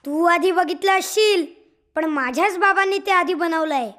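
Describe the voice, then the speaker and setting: A woman's voice: extremely high pitch, moderate pace, a bit bright. A woman voicing a small, earnest and argumentative animal in a children's cartoon fable. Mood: indignant.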